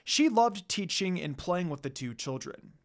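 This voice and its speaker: A woman's voice: fairly low pitch, lively intonation, unhurried. A woman reading fan fiction aloud as an amateur narrator, telling the story in a dramatic storytelling way.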